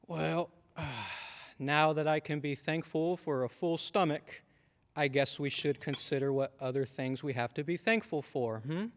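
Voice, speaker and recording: A man's voice: fairly high pitch, measured pace. Actor as paternal radio-drama father in reverberant hall. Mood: self-satisfied. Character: stern but affectionate small-town father. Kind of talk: old-time radio drama acting.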